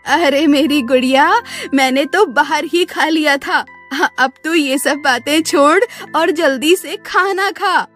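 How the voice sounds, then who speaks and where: A woman's voice: high voice, slow, hardly any pauses, fairly bright tone, faint music behind. A woman voicing a poor, humble widowed mother from a village, tender and pleading, in a children's story. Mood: tender, reassuring.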